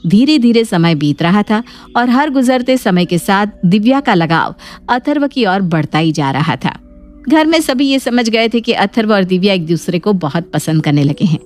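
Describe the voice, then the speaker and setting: A woman's voice: medium pitch, expressive, moderate pace, darkish tone, soft background music. A woman narrating a scripted family moral story as a storyteller.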